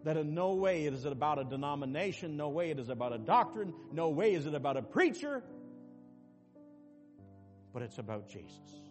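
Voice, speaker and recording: Man, pastor, sermon, soft asides and loud emphatic peaks, long room reverb, faint music underneath. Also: a little high, sing-song, normal speed. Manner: swings from quiet asides to loud emphatic preaching.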